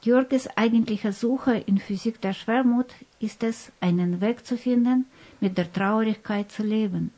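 A woman co-hosting a radio programme, reading a prepared script somewhat haltingly. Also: a little high, warm tone. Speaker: radio co-host and interviewer. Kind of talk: scripted radio presentation in German.

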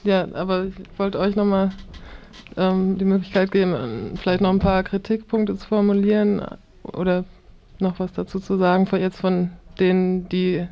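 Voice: medium pitch, fairly even intonation, moderate pace. A discussion moderator speaking off the cuff, handing the floor to the guests. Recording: dull, lo-fi sound.

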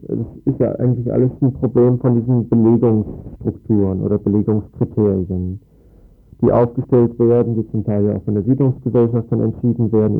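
A man's voice: fairly low pitch, gravelly. A man interviewing in an informal radio discussion, phrasing long questions in a reflective, slightly hesitant way. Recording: tinny narrowband archive radio recording.

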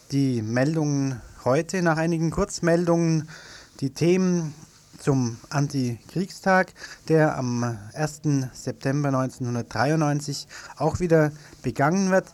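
A man from reading a community radio news bulletin in a studio, measured with the odd slip and self-correction. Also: mid-range voice. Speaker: news presenter.

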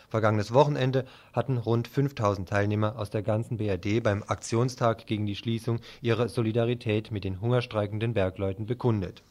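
A man working as a radio news presenter, reading reports and introductions from a script. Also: fairly low pitch.

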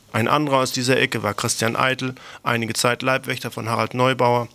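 A man's voice: mid-range voice, vocal fry, fairly bright tone. A man presenting a scripted political news report on the radio.

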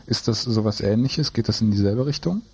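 A man presenting and interviewing live on radio, in a halting, stumbling delivery with a husky voice. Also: fairly low pitch, warm tone.

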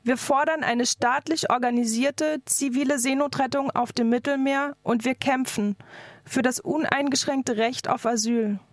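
A woman's voice: high voice, fairly even intonation, normal speed, no echo, clean studio sound. A woman activist delivering a scripted political speech in an emphatic, declarative manner. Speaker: activist speaker.

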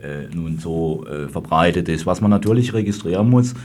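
A man speaking as a lawyer in a radio panel discussion, deliberating haltingly as he goes. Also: deep voice, lively intonation, a little mellow. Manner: halting, deliberating with hesitant pauses.

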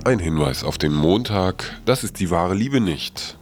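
A man hosting morning radio with loose, improvised announcements, halting and wry, often correcting himself. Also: deep voice, animated. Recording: music playing behind the voice. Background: music.

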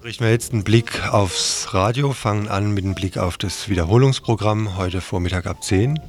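A man's voice: fairly low pitch, normal speed, soft background music. A man hosting live community radio, reading announcements and addressing listeners informally. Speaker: radio host.

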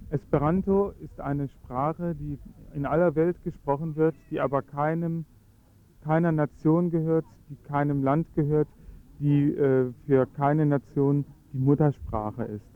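A man's voice: fairly high pitch, fairly even intonation, a little slow, phone-quality sound. A man speaking German as his first language, earnestly explaining his views in a radio interview with frequent hesitations. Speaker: Esperanto advocate.

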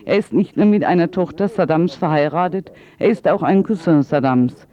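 A man's voice: a little high, animated, normal speed, dull audio. A man working as an interpreter, giving a voice-over translation of an interview.

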